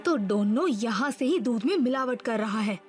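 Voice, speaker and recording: Woman as brave cartoon boy hero, highish voice. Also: expressive, normal speed, close to an everyday voice. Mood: alert, determined. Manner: bold, declarative, earnest. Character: brave, confident heroic young boy. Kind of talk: Hindi cartoon dubbing. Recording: loud music under the voice. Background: loud cartoon score.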